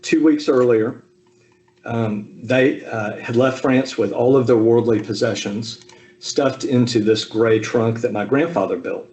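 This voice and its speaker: A man in his fifties from the American South, giving a relaxed personal talk over a video call with frequent ums and asides. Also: mid-range voice, a little slow, rough recording. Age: 50s. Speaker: speaker at a Zoom talk. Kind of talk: personal family history talk.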